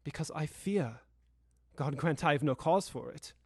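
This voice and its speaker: An anxious, careful gentleman lawyer from a Victorian audio drama, acted by a young man. The voice sits a little high for a man and is recorded close and dry. The delivery is formal and dramatic. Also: a little slow, husky, no room sound. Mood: fearful. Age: young adult.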